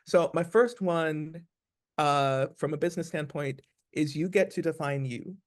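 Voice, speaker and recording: Earnest man with a slightly high voice. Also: measured pace. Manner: earnest, emphatic, repeats phrases for stress. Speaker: interview guest, actor. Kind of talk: conversational interview advice.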